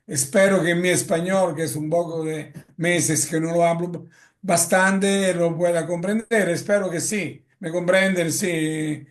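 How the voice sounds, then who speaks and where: A man with an Italian accent gives a lecture in Spanish, often halting with fillers and correcting himself. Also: a little high, steady tone. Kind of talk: lecture presentation. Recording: echoey room.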